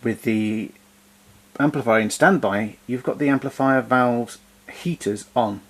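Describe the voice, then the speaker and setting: A man presenting vintage equipment in an unscripted demonstration, explaining things in a hesitant, pausing way. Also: mid-range voice, a bit bright. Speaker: vintage tape recorder collector presenting.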